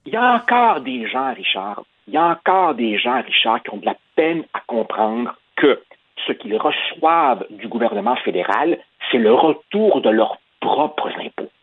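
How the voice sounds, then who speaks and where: A man's voice: medium pitch, sing-song, normal speed, slightly rough, no room sound. A man, giving political commentary in an emphatic, repetitive way over the phone. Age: 50s. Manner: emphatic, repeats words, addresses host by name. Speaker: commentator guest. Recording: tinny phone line.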